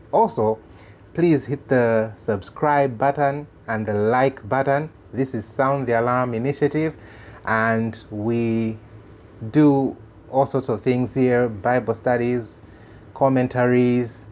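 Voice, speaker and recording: A man from Malawi with a Malawian accent, a native Chichewa speaker, hosting an informal religious commentary in an earnest, halting way that repeats phrases for emphasis. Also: medium pitch, a little mellow. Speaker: host of a religious commentary channel.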